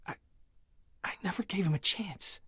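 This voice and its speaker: An actor playing an earnest, driven young Spartan soldier burdened by guilt in a dramatic video game. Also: male voice, high voice, normal speed, pushed and bright, husky, dry recording, clean studio sound, heavily acted. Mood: guilt-ridden.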